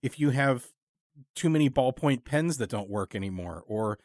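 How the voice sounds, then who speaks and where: A man with a medium-pitched voice talks as a relaxed interview host, wry and given to musing. His intonation moves around a lot, and the sound is very dry and close. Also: moderate pace, no echo. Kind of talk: casual conversational interview.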